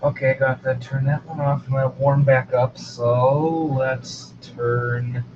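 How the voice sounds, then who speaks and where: A man's voice: flat delivery, takes it slowly. Some guy tinkering with radios, mumbly musing to himself, dull audio w static behind him. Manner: low-key musing to himself. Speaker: radio hobbyist demonstrating receivers. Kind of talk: casual, off-the-cuff equipment demo. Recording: dull lo-fi sound with radio noise. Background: shortwave radio static.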